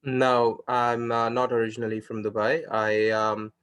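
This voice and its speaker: A man's voice: mid-range voice, monotone, unhurried, a bit bright, a little hiss or hum. A young man from India chatting in a casual conversation, talking with many fillers and restarts. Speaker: interviewer. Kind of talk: casual video call conversation.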